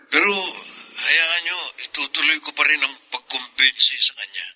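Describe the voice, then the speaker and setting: A man's voice: fairly high pitch, fairly even intonation, normal speed, sharp tone, poor audio. An actor playing a polite, kindly young man in a radio drama, respectful and apologetic.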